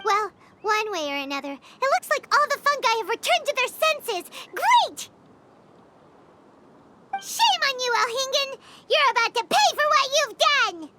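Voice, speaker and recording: Squeaky, bright, excitable little fairy. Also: female voice, a little slow, a little hiss or hum, heavily acted. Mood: indignant, determined. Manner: exclamatory, expressive. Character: tiny childlike female fairy companion, excitable, indignant. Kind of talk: English game dub voice acting.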